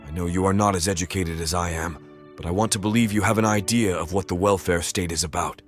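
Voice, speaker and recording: A man's voice: low-pitched, steady tone, fast, natural voice. A man playing a pompous, condescending owl politician with a menacing streak in an audio play. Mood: scheming, condescending. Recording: music behind the voice.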